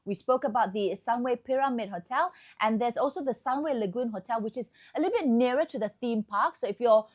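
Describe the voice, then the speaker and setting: A woman's voice: fairly high pitch, a little fast, few pauses. A woman with a Malaysian accent hosting a chatty, upbeat promotional tour of a hotel room indoors. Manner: chatty, upbeat sales patter. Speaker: host.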